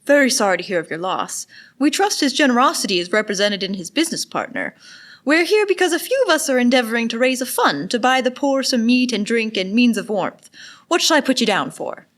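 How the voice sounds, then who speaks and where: Lively, high-pitched, courteous charity collector played by a woman. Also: a little fast. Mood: courteous, hopeful. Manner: polite, formal. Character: polite, earnest charity collector, a gentleman. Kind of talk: audio drama acting.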